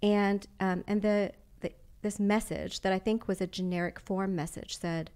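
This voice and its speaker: Woman, podcast guest; medium-pitched voice, eager, rambling story delivery. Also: fairly even intonation, normal speed, a little mellow, clean tone. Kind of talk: casual interview chat.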